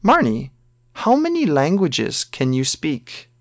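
A man reading a scripted listening exam, both the dialogue lines and the numbered questions, in a slow, careful textbook manner. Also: on the high side, huge pitch swings, no room sound, studio quality. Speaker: listening test reader.

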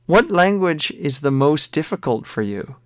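A man reading a scripted listening exam, both the dialogue lines and the numbered questions, in a slow, careful textbook manner. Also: a little high, sing-song, dry recording, studio quality. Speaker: listening test reader.

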